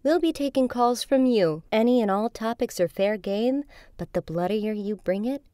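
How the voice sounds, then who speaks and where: A woman's voice: on the high side, moderate pace, dark tone, dry recording, clean studio sound, natural voice. A woman playing a brash, sarcastic horror call-in radio host in an audio drama, flippant in her banter. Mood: sardonic.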